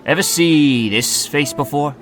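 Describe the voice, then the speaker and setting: A man's voice: a little high, unhurried, a bit bright, faint music behind. An actor playing a wry, prodding newspaperman chasing a scoop in a 1920s radio drama. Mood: probing.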